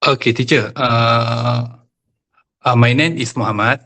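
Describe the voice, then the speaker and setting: A man's voice: medium pitch, steady tone, unhurried, bright, forceful tone. A man with a Malaysian accent, a Malay-speaking student introducing himself in simple learner English, hesitantly searching for words. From Selangor, Malaysia.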